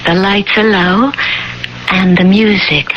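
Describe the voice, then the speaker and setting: Low-voiced woman played by an actress, coy, teasing, mysterious neighbor, radio drama, noisy old recording. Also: steady tone, slow pace, dull audio, lightly acted. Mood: coy, flirtatious. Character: flirtatious, evasive, mysterious married woman neighbor.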